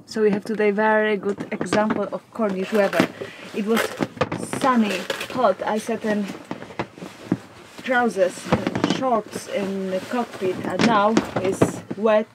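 Lady on a boat deck, slow easy chat, loud wind and engine roaring. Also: medium pitch, steady tone. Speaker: vlogger. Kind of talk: casual on-camera chat. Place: sailboat deck outdoors. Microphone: action camera.

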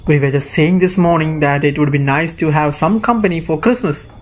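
Man playing an insistent high-pitched fatherly ironmaster. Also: fairly quick, natural voice. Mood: urging, concerned. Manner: earnest, coaxing. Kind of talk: student radio drama acting. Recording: echoey room.

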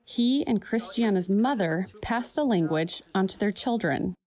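A woman reporter reading a scripted news voice-over in a steady, clear manner. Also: medium pitch, measured pace, low-quality recording.

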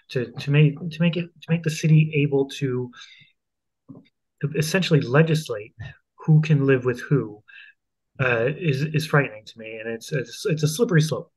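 A man in his thirties hosting a conversational local news discussion, explaining haltingly with repeated restarts. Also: mid-range voice. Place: home room, indoors. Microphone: earphone headset on video call. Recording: dull, lo-fi video call audio.

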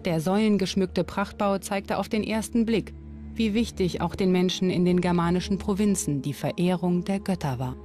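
A documentary narrator reading a scripted commentary in a measured, explanatory way. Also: mid-range voice, darkish tone. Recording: music bed under narration. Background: music.

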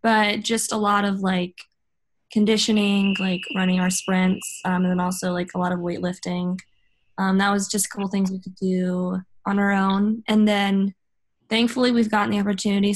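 A woman's voice: medium pitch, little pitch movement, moderate pace, a little hiss or hum. An American woman in her early twenties, giving casual explanatory answers in an interview over a video call. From South Carolina, USA. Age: early 20s. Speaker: college volleyball player, interview guest. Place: indoor room on a video call.

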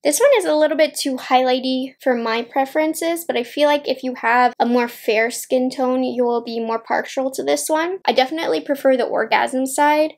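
A woman's voice: high-pitched, steady tone, moderate pace, hardly any pauses. A woman in her twenties chatting casually to camera as a beauty vlogger, thinking aloud as she goes. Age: 20s. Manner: chatty, thinking aloud while applying makeup. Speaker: beauty vlogger reviewing makeup. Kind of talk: casual chat to camera, makeup review. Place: small indoor room at home.

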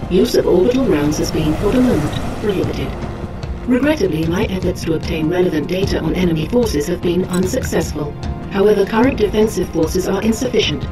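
Actress as low, formal female military AI. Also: close to an everyday voice, poor audio. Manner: measured, formal. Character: formal, precise female military artificial intelligence. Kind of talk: video game mission briefing.

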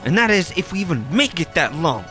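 A man's voice: on the high side, big pitch swings, brisk, sharp tone, soft background music. An actor playing a panicky, agitated, foul-mouthed henchman in an audio drama.

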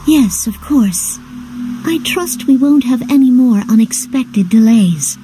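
A woman playing a gentle, caring young adventurer in a video game, earnest, polite and composed. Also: on the high side, animated, slow pace, over music. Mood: worried, polite. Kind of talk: video game character acting.

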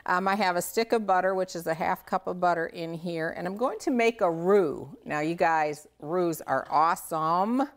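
A middle-aged woman hosting a casual cooking demonstration, chatty, folksy and enthusiastic as she talks straight to viewers. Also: lowish voice. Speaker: cooking show host. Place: home kitchen, indoors.

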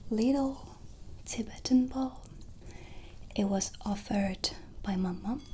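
A woman speaks in a breathy ASMR whisper, close to the microphone. Her delivery is gentle and very unhurried, with long pauses between short phrases. A soft ambient noise sits behind her voice. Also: medium pitch. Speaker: ASMR host playing a witch shopkeeper. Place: small dark candlelit indoor set.

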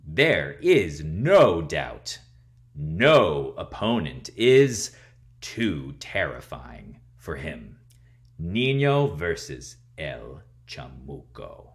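A man in his thirties reading a picture book aloud and explaining things to children in a theatrical, expressive way. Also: extremely low pitch, extremely slow. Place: small room at home, indoors.

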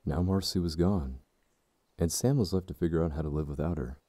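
A man narrating an audiobook in a slow, measured, somber way. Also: rumbling low, mellow, dry recording.

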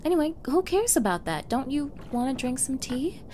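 A woman's voice: high-pitched, big pitch swings, normal speed, warm tone. A woman playing a flustered, clingy young yandere in an ASMR girlfriend roleplay, with a coy, stammering manner and feigned ditzy innocence. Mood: anxious, pleading. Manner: stammering, coy, eager, breathy asides. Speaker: roleplay voice performer. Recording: faint background noise.

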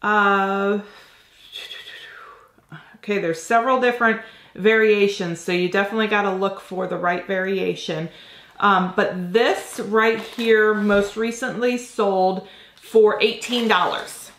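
A woman's voice: mid-range voice, steady tone, takes it slowly, a bit bright, echoey room. A woman in her forties with a slightly nasal voice from a cold, chatting casually as she unboxes items at a desk in her home office. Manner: chatty, thinking aloud while handling items. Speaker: reseller vlogger.

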